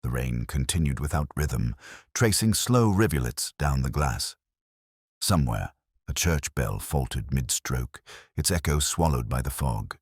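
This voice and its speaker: A man playing a weary, melancholy police detective who narrates a mystery audio drama in measured, brooding, literary phrases. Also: extremely low pitch, animated, husky, dry recording, clean studio sound, full character voice.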